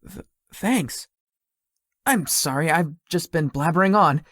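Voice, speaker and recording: Guy doing a lazy awkward young tech founder in a visual novel, kinda hesitant, pitch wanders a lot, dry sound. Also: expressive, takes it slowly, fairly bright tone, no echo, exaggerated voice. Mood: awkward, tired. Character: Sloth, lazy, sweet-toothed young male tech company founder. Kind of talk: visual novel character dialogue.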